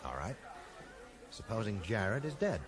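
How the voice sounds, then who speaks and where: A man's voice: lowish voice, big pitch swings, normal speed, crowd noise. An actor playing a puzzled, brooding man in a radio drama, questioning and thinking aloud. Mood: brooding, doubtful. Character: puzzled, brooding American man investigating a mystery. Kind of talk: 1980s radio drama acting.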